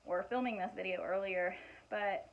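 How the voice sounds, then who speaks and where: A woman in her forties with a hoarse, fading voice, casually chatting through gift ideas in a home vlog with lots of fillers and restarts. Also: medium pitch, steady tone, moderate pace. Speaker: vlogger showing gift ideas. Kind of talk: casual show-and-tell vlog. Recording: muffled lo-fi home recording.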